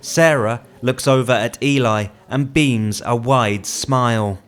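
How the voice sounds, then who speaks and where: A man's voice: mid-range voice, slow pace. A man reading screenplay directions as a scripted narration. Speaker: writer reading own screenplay.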